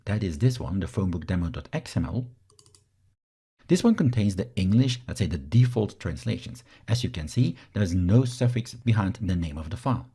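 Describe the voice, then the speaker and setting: A man from Belgium, a native Dutch speaker, explains each step as an instructor in a software screencast tutorial. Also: low-pitched, husky.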